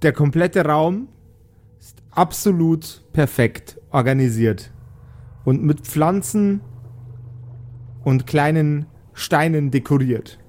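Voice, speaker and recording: A man's voice: on the high side, takes it slowly, long pauses, darkish tone. A man narrating an improvised tabletop role-playing game as its game master.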